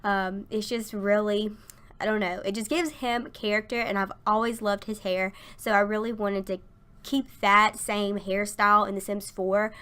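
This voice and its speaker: A young woman chatting casually through a game voice-over, her voice a little raspy from a sinus infection. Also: medium pitch, a bit bright. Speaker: gaming YouTuber.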